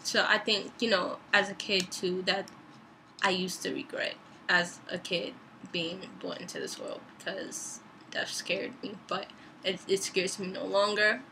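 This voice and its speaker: A young woman in a reflective personal vlog, speaking thoughtfully and deliberately about faith, her voice going dry and raspy. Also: medium pitch, little pitch movement, bright, forceful tone, slight background noise, low-quality recording. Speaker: vlogger and author.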